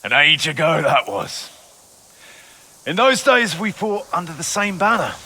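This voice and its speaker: A proud, wary knight in a video game. He declaims slowly in a raised, hard-edged voice. Music plays underneath. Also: a little high, sharp tone, exaggerated voice. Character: proud knight trading wary courtesies with a rival. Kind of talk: acted video game cutscene dialogue.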